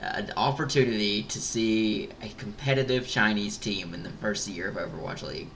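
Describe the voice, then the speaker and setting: A man co-hosting an esports podcast, talking in an excitable, animated way with lots of fillers and restarts. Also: fairly low pitch, normal speed, slight background noise. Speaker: podcast co-host. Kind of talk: casual esports discussion.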